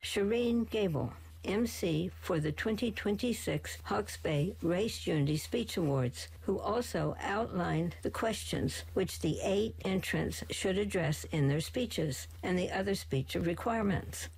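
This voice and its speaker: Low, gravelly woman presenting. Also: measured pace, hardly any pauses, rough recording. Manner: steady, informative reading. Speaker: radio presenter. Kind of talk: scripted narration.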